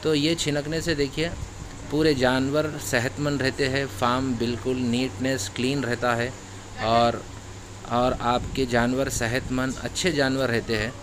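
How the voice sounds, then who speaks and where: Farmer-host, friendly explainer, mid pitch, phone audio in a shed with light hum. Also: male voice, level tone, measured pace. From Hyderabad, India. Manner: friendly instructional talk with religious greetings. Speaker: goat farmer and channel host. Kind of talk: casual how-to vlog narration. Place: indoor goat shed, off camera.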